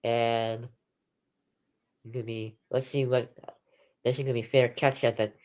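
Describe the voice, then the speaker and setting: A man's voice: medium pitch, little pitch movement, a little slow, darkish tone. A man hosting an amateur live football stream, calling the plays in a conversational, informal way. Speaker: play-by-play host of an online sports stream.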